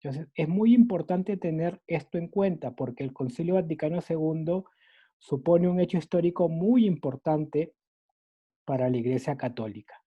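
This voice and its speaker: A man's voice: on the high side, normal speed, slightly rough. A Peruvian man with a mixed Latin American Spanish accent, teaching a catechism lesson in an earnest, explanatory way, often restarting with fillers. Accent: mixed Latin American Spanish, Peruvian base. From Peru. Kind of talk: catechesis lecture. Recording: dull lo-fi recording.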